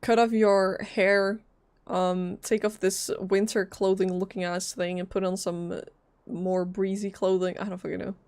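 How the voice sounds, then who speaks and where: A woman's voice: medium pitch, level tone, unhurried, darkish tone. A woman chatting casually on a game livestream, joking and talking back to the characters.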